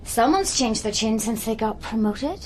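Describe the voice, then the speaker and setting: A young Irish actress playing a nice, wry junior journalist in a naturalistic radio drama. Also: female voice, on the high side, expressive, normal speed, a little mellow, slight background noise, close to an everyday voice, poor audio. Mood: teasing. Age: young adult. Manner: wry, playful teasing.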